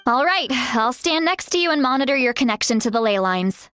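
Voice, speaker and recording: A spirited veteran chief in a video game, her voice fairly high and assured. She is caring yet commanding, with a measured, motherly delivery. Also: lively intonation, a bit bright. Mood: grave. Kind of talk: English video game voice acting.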